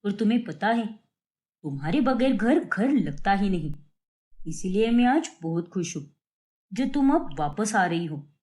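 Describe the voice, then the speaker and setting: A woman's voice: mid-range voice, sing-song, measured pace, dark tone. A woman voicing a polite, understanding young husband and son-in-law in a cartoon story. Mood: affectionate.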